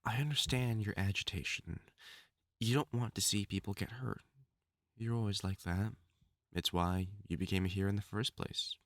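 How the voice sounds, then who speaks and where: A man's voice: on the low side, normal speed, dry recording, studio quality, lightly acted. A man acting a lovesick, obsessive supervillain scientist with formal, theatrical diction in a dramatic audio roleplay monologue. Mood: tender. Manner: formal, theatrical diction, tender endearments breaking into anguished outbursts.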